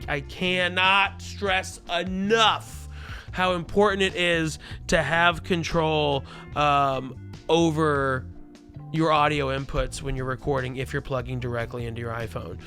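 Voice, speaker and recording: A loud, chatty man giving a casual product tutorial, speaking into a lavalier mic clipped under his shirt. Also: on the high side. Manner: loud, chatty, self-deprecating asides. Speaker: gear reviewer.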